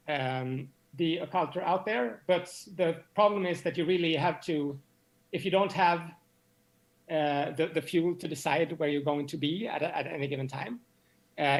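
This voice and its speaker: A man speaking as an expert interview guest, explaining in a halting way and often repeating words as he searches for phrasing. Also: on the high side. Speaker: interview guest, astronomy professor.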